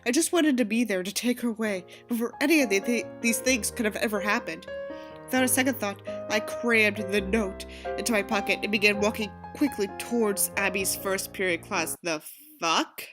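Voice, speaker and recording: A woman's voice: mid-range voice, normal speed, over music. A woman reading a novel aloud with sardonic commentary, in an exasperated, sarcastic manner with sweary asides. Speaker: audiobook reader and commentator.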